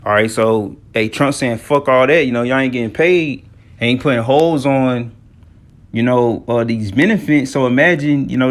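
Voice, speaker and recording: A man from the United States giving informal, slangy commentary on news and scripture, often punctuating his talk with filler phrases. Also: medium pitch. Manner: conversational, slangy, repeats "all right" and "you know". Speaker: religious commentator.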